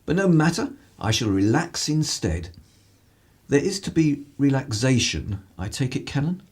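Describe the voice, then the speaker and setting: An actor playing a cynical, irreverent 1930s English broadcaster in a radio play, with a husky voice and sardonic, theatrical turns of phrase. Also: male voice, medium pitch, big pitch swings, measured pace, darkish tone, heavily acted. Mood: arch.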